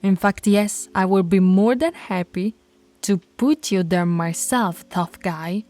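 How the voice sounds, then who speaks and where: A woman voicing a dominant, stern alpha werewolf pack leader in an ASMR roleplay, scolding and taunting in a commanding, deliberate way. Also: mid-range voice, darkish tone, lightly acted.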